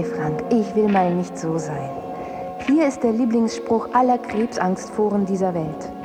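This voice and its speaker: An actress playing a mocking woman in a radio drama, sardonic and deliberate. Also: on the low side.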